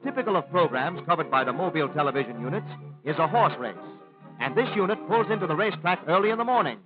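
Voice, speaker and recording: American man, high-set voice, formal newsreel narration, declarative announcer delivery, thin narrow vintage film soundtrack. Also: animated, fast talker, music in the background. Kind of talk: 1930s newsreel-style scripted narration.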